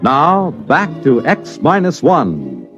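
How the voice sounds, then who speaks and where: A man's voice: mid-range voice, huge pitch swings, slow pace, a bit bright. A man announcing formally in the style of old-time radio. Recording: muffled old radio recording with music.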